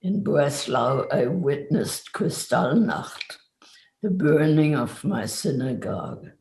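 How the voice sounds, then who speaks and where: A man's voice: mid-range voice, creaky, darkish tone. A man in his nineties from Germany, reading a scripted survivor's testimony in an echoey room. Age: 90s. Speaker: Holocaust survivor giving testimony. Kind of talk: scripted personal narrative.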